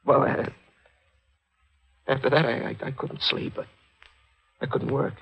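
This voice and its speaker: Tormented reporter guy, old time radio, husky and forceful, stammers through his confession. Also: medium pitch, little pitch movement, rough recording. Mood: weary, remorseful. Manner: halting, anguished, stammering confession. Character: guilt-ridden, haunted newspaper reporter confessing under truth serum. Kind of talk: 1940s radio mystery acting.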